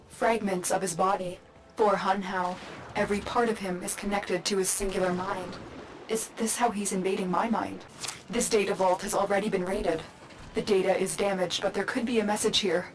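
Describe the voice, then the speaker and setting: A woman voicing a calm, secretive game guide. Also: medium pitch, a little hiss or hum, close to an everyday voice, poor audio. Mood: urgent. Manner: calm, measured, urgent instructions. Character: calm, mysterious female guide, wary and secretive. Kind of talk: video game mission voice acting.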